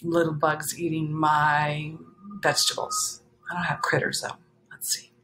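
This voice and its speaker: A woman's voice: deep voice, unhurried. Woman reading to children, noisy background. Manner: expressive, explanatory, addressing young listeners directly. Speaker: storyteller reading a picture book aloud to children. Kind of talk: children's read-aloud with chatty asides.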